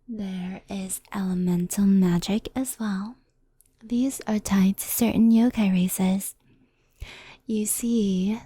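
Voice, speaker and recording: A woman playing a gentle, wistful fox spirit in an ASMR roleplay, speaking softly and often in a whisper, close to the microphone. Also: mid-range voice, slightly rough, no room sound. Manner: soft-spoken, often whispery, unhurried explaining. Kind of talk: ASMR roleplay, soft storytelling. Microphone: close headphone ASMR microphone.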